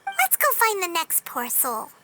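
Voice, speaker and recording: A tiny, chirpy game companion speaks in a squeaky, childlike voice pitched extremely high. The delivery is animated and expressive, bouncing up and down, with loud music underneath. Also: female voice, a little slow, heavily acted. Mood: earnest, chatty. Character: tiny childlike floating companion, chirpy, talks in third person. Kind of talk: video game voice acting.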